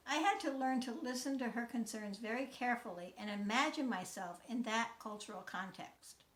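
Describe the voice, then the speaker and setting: A woman in her sixties from the United States, a teacher giving a scripted talk in a measured, earnest way. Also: on the high side, a bit bright, roomy sound, rough recording. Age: 60s. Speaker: teacher and mentor. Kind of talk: scripted talk, read aloud.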